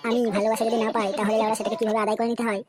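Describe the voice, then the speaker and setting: Woman acting a fast, high-voiced, swaggering young cartoon lout. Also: flat delivery, crowd noise, natural voice. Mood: angry bullying. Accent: West Bengal. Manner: crude, swaggering, badgering. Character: brash, foul-mouthed young male suitor, pushy and menacing. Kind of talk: comedy cartoon dialogue. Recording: music behind the voice.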